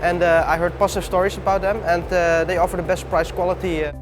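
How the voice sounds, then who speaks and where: A man's voice: high-pitched, little pitch movement, fast, loud music behind the voice. A man in his thirties from the Netherlands, a pilot trainee giving an earnest interview testimonial with frequent uhs. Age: 30s.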